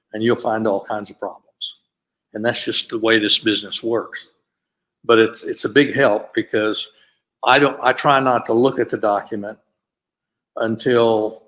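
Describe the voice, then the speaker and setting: Lower-voiced older man explaining procedures. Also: poor audio. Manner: steady, explanatory, with frequent "uh" fillers. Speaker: panelist, graduate school administrator. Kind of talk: conference panel talk over video call. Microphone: video call.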